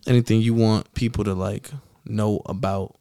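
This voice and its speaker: A man interviewing someone in a casual, slangy way, feeling his way haltingly into his questions. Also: on the low side, little pitch movement, mellow, slight background noise.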